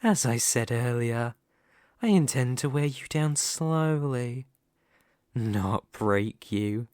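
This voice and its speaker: A man playing a dominant, vain and possessive demon lord in an ASMR roleplay, speaking formally and theatrically with deliberate dramatic pauses. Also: mid-range voice, no room sound, clean studio sound. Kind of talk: ASMR roleplay character monologue.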